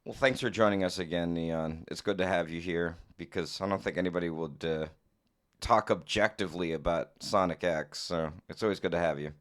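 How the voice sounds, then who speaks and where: A man with a very low voice, a little hoarse, chatting loosely as a podcast co-host. He talks in an easy, offhand manner. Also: fairly quick. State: slightly hoarse. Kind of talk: casual podcast chat.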